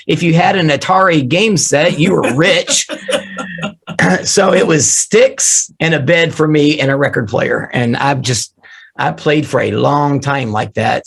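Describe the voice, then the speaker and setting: A man who is losing his voice, hoarse, telling animated, joking stories about his drumming start as an interview guest on a remote call. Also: mid-range voice. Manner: animated storyteller, jokey. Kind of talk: casual storytelling interview.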